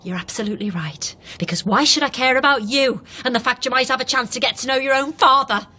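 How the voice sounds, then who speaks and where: An actress playing a caring but sharp-tongued young fiancée in a naturalistic radio drama, pointed and persuasive. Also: female voice, high-pitched, fast talker. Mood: sarcastic, hurt.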